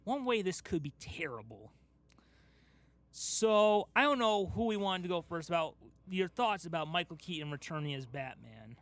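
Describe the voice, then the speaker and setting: Male podcast host, young adult, higher voice, emphatic explainer in casual discussion, close dry sound. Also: big pitch swings, normal speed, no echo. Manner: explanatory, emphatic, talks through an argument step by step. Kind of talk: casual pop culture discussion.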